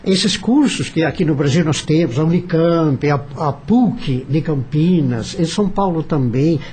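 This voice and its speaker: A Brazilian man speaking off the cuff in a radio discussion, halting and repeating himself as he searches for words. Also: high voice, mellow, breathy edge.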